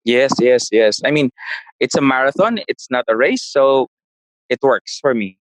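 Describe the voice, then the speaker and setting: A man's voice: medium pitch, animated, measured pace, dry recording, rough recording. A man, answering interview questions in a casual way and often searching for words. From Philippines. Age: 30s. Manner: searches for words, frequent restarts. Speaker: guest, property investor.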